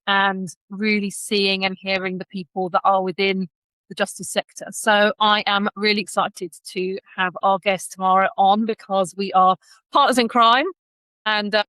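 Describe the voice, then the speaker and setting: A woman's voice: medium pitch, pushed and bright, dry recording, rough recording. A woman hosting a podcast in a conversational, enthusiastic and effusive way. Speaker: podcast host.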